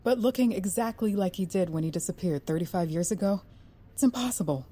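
A woman's voice: mid-range voice, animated, brisk, warm tone, husky. An actress playing a determined, insistent female federal agent pressing her boss. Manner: earnest, insistent.

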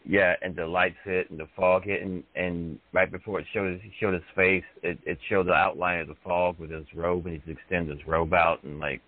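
Deep-voiced male co-host, eager reminiscing, tinny phone-line sound. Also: level tone, moderate pace, few pauses, dry recording. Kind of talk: casual chat.

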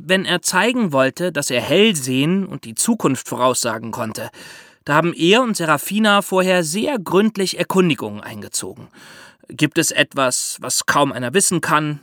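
A man's voice: on the high side, bright, forceful tone, no room sound, studio quality. A man co-hosting a history podcast, explaining the story with chatty asides. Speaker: podcast host.

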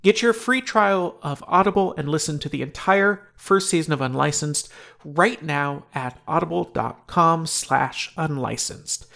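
A man's voice: on the high side, creaky, a bit bright. A man reading a scripted podcast introduction and promo as the show's host.

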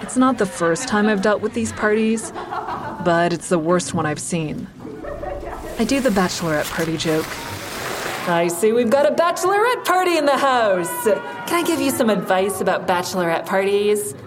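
Actress playing female comedian, first-person drama narration, wry, conversational tone, medium pitch, slight background noise. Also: lively intonation, moderate pace, lightly acted. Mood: performing, upbeat. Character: wry female stand-up comedian telling her own story.

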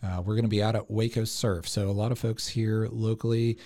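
Low, mellow-voiced man chatting as guest. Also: flat delivery, fairly quick. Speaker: interview guest, sports commission executive director. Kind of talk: casual interview chat.

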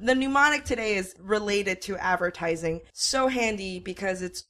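Podcast co-host, female, Mandarin speaker. Medium pitch, casual and conversational. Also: moderate pace, bright, forceful tone. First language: Mandarin Chinese. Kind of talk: casual trivia chat.

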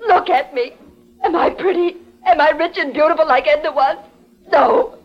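A woman's voice: high voice, normal speed, close to an everyday voice. An actress playing a jealous young woman who hides guilt behind politeness, in dramatic 1940s radio melodrama style. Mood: anguished, defensive. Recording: muffled 1940s radio recording.

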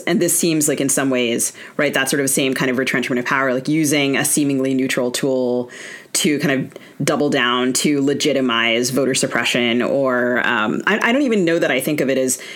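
A woman's voice: a bass voice, moderate pace, fairly bright tone. A woman hosting a conversational interview, talking in a thoughtful, tentative way. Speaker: podcast host.

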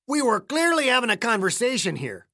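A narrator voicing a gruff, scowling older caretaker with a folksy, surly manner. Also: mid-range voice, sing-song, fairly quick, sharp tone, no echo, studio quality, pitched above the performer's own voice, exaggerated voice. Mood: hostile. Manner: cantankerous, folksy, tacks "eh" onto sentences.

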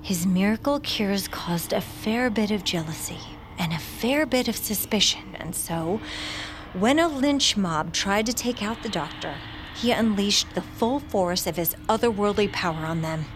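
A woman's voice: medium pitch, expressive, unhurried, background noise, lightly acted. An actress playing a wary, indignant, confrontational woman guarding her secrets in an audio drama. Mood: ominous. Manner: blunt, confrontational. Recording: loud dramatic music underneath.

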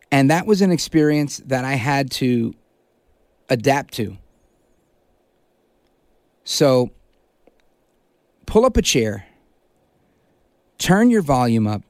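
A middle-aged man from New hosting a political talk radio show, delivering an emphatic, punchy monologue that hammers key words slowly. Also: mid-range voice, dry recording, clean studio sound. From Brooklyn, New York, United States. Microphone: studio microphone.

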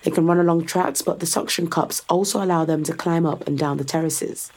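Husky, assured woman in sci-fi audio drama. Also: deep voice, steady tone, fairly quick, darkish tone. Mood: proud, informative. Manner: assured, explanatory, dryly teasing. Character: confident, sardonic woman guiding a skeptical visitor. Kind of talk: full-cast science fiction audio drama acting.

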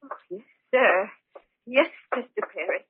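An actress playing a deferential, slightly hesitant household maid in an old-time radio drama. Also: female voice, medium pitch, expressive, a little slow, close to an everyday voice. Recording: muffled, faintly noisy old radio recording.

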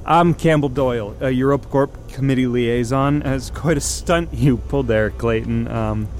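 An actor playing a falsely affable corporate official, halting and casual, in an audio drama. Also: male voice, medium pitch, darkish tone, a little hiss or hum, lightly acted. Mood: falsely friendly. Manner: halting, casual, chuckling under threats. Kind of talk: naturalistic audio drama acting.

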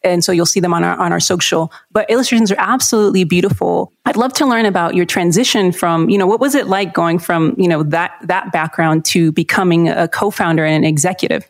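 Warm, affirming woman podcast host. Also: fairly low pitch, fast, hardly any pauses. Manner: warm, affirming, many fillers and restarts. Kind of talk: conversational interview.

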